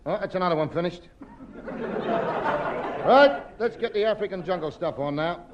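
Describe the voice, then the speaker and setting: Brisk, bossy director, high-pitched; 1950s radio comedy, muffled, distant-sounding vintage recording. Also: male voice, expressive, a crowd in the background, background noise.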